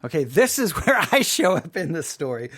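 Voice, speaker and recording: A man hosting an informal spoken commentary, emphatic and halting, repeating himself and adding rhetorical asides. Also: on the high side, very animated, fairly bright tone. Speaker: podcast host commenting on a drama.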